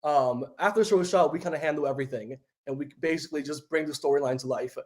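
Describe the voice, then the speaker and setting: A man's voice: on the high side, fast. Chatty young New man on a call. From New York City. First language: Spanish. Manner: relaxed, chatty, many "like" fillers. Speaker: guest. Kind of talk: casual interview chat. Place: home room, remote video call. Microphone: video call.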